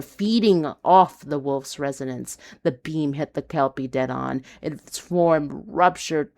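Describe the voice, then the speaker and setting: Low creaky woman reading noir urban fantasy, intense and confessional, dramatic storyteller. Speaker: narrator.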